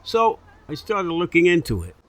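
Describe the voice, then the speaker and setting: An actor playing a blunt, hard-nosed investigative reporter in a comic mock-documentary. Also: male voice, on the high side, huge pitch swings, normal speed. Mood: skeptical, blunt.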